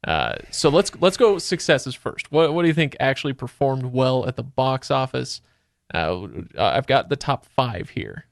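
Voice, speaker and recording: A man with a mid-range voice hosts a relaxed chat about films. He talks conversationally and quizzes the people with him. The sound is dry and close. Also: medium pitch, a little slow, vocal fry, dry recording. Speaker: podcast host. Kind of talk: casual film chat.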